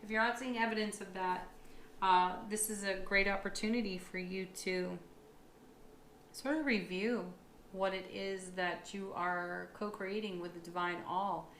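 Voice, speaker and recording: A woman reads tarot cards aloud in an upbeat, affirming manner, pausing for reflective asides. Her voice sounds a little froggy and worn. She is recorded in an echoey room with a faint hum of ambience behind her. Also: medium pitch, steady tone, fairly bright tone. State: slightly froggy, tired voice. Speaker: tarot reader. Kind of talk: monthly astrology tarot reading.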